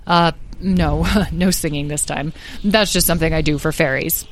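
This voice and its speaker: A woman's voice: low voice, fairly even intonation, normal speed, slight background noise. A woman voicing an easygoing, friendly boy in a children's story. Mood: sheepish.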